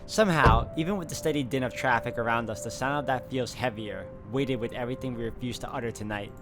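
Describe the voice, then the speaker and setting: A man's voice: medium pitch, fast talker, music in the background. A man reading a visual novel aloud as a let's play host, in a narrating style with casual asides.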